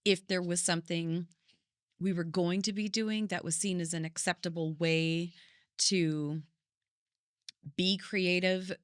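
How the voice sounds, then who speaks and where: Middle-aged woman guest with a lowish voice, musing thoughtfully in long sentences, dry recording. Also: steady tone, a little slow, long pauses, smooth, no echo. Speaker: podcast guest, singer and vocal coach. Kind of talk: reflective conversational storytelling.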